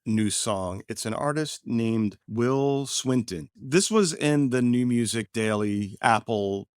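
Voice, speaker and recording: A man's voice: medium pitch, expressive, slow pace, clean tone, no echo, studio quality. A man on a podcast panel, casually recommending music in a conversational way.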